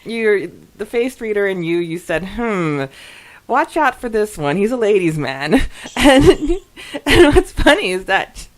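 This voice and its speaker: A woman co-hosting a podcast, chatting casually and reading out messages in an expressive, giggly way. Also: medium pitch, a little slow. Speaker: podcast co-host.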